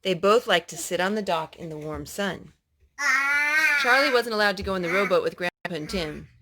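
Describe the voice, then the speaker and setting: A woman reading a children's storybook aloud as a storyteller, in an animated way meant for a child, pausing to explain and ask questions. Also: fairly low pitch, heavy background noise.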